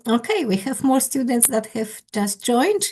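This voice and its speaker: A woman with a Polish accent, leading an online English conversation class as its teacher, with careful, halting non-native phrasing. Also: on the high side, sing-song, mellow, slight background noise. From Poland. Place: indoors on a video call.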